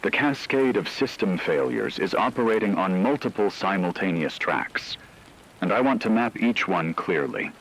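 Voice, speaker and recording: A man's voice: low voice, animated, moderate pace, a bit bright, poor audio. A man playing a grave technical correspondent on an emergency radio broadcast, precise and formal in a scripted news report. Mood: grave, measured.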